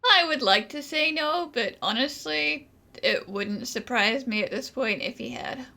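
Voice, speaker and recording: A woman's voice: high-pitched, measured pace, creaky, bright, forceful tone, heavily acted. A woman voicing a sassy, teasing companion creature in a video game, wry and fondly sardonic.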